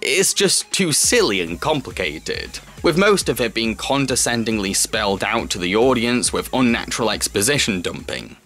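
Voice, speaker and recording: A man hosting a film review, reading scripted narration in a steady, presentational way. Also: lowish voice, normal speed, hardly any pauses. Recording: music under the voice.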